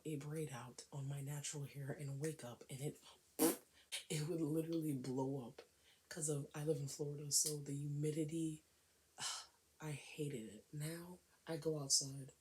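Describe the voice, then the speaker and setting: A woman with a raspy, cold-worn voice chatting casually to camera in a hair vlog, often dropping into a whisper. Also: low-pitched. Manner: chatty, emphatic, with frequent whispery stretches. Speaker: hair vlogger.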